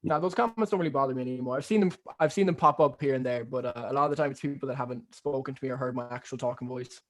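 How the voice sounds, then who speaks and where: A young man from Ireland with a softened Cork accent leaning American, rambling casually through an interview with lots of fillers and restarts. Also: medium pitch, rapid-fire. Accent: neutral Cork Irish with American influence. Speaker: musician guest.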